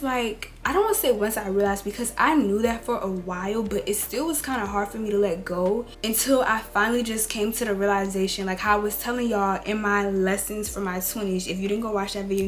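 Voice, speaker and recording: Hoarse young woman giving chatty advice. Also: mid-range voice. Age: 20s. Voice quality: raspy, rough. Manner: chatty, direct, addresses viewers as y'all and girl. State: hoarse from a cold. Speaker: beauty and lifestyle vlogger. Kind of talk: casual girl-talk advice.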